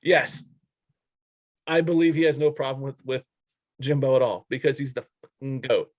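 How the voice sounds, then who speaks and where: A man's voice: mid-range voice, moderate pace, no room sound, studio quality. A man co-hosting a sports podcast, recapping news and giving blunt, informal opinions in casual talk.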